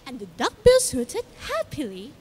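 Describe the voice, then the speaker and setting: A young woman narrating a children's story on stage in a dramatic, drawn-out, expressive way. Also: high voice, breathy edge.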